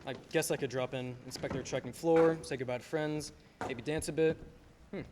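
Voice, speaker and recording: A man's voice: mid-range voice, fairly even intonation, moderate pace, background noise, natural voice. A young man acting an eager, teasing small-town dreamer in a radio play, boyish and stammering when flustered. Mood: playful. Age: young adult. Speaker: student actor.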